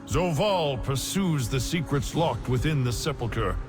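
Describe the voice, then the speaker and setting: Casting: actor for grave godlike elder male in fantasy game, unhurried, mid-pitched, authoritative, with music underneath. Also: animated, close to an everyday voice. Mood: commanding resolve. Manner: solemn, deliberate, commanding. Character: grave, ancient godlike male leader of the dead. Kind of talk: epic fantasy game cutscene acting. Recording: clear music bed behind voice. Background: game score music.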